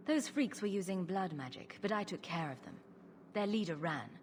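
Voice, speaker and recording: An actress playing a wry, self-assured adventuring heroine in a video game, sardonic and glib. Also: female voice, lowish voice, lively intonation, normal speed, slight background noise, close to an everyday voice. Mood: wry, confident.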